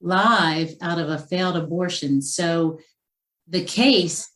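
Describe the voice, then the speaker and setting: Lower-pitched woman author, chatty and rambling. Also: slow, a bit bright. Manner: chatty, with frequent fillers and restarts. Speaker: author guest. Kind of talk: conversational interview.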